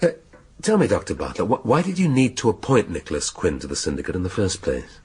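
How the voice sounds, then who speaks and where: An actor playing a shrewd British police inspector in a radio drama, questioning in a measured, drily needling way. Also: male voice, low voice, huge pitch swings, slightly rough, exaggerated voice. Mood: probing.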